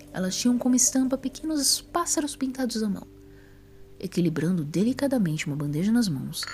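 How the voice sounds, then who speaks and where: A woman narrates a scripted story with highly varied intonation. Her tone is mellow and relaxed at a middle pitch, with faint noise in the recording.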